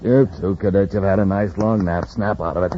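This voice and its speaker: An actor playing a gruff, mocking henchman in an old radio space drama, talking in sneering slang on a muffled, noisy recording. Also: low-pitched, fairly even intonation, a little fast, lightly acted. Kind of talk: 1950s radio drama acting.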